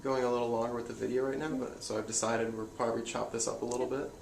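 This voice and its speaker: A young man asking questions in a loose, halting way full of fillers. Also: medium pitch, little pitch movement, gravelly. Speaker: interviewer. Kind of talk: casual conversation. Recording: echoey room, faint noise, voice away from the mic.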